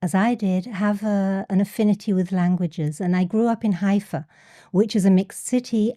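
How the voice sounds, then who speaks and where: A woman's voice: medium pitch, warm tone, no room sound, studio quality. A middle-aged woman with an English accent, a podcast guest speaking hesitantly and often repeating words. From England. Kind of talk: casual interview.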